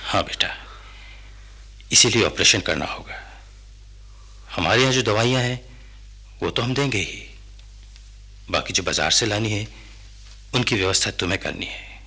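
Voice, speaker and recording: Forceful, husky paternal doctor. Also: male voice, fairly low pitch, animated, measured pace, pauses often, exaggerated voice. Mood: grave, firm. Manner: instructive, slightly patronising. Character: brisk, paternal, authoritative hospital doctor. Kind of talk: radio drama acting.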